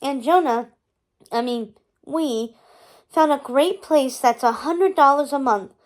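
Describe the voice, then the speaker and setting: A woman's voice: sits high, slow. A woman voicing an eager, earnest young woman with a sweet, bubbly manner in a storybook. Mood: eager, proud.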